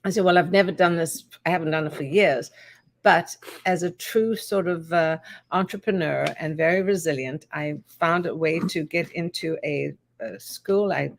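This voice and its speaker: An older woman with a South African accent, a perfumer being interviewed on a talk show, telling her stories with animated quoted voices. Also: on the low side, normal speed, vocal fry. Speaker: guest, perfumer.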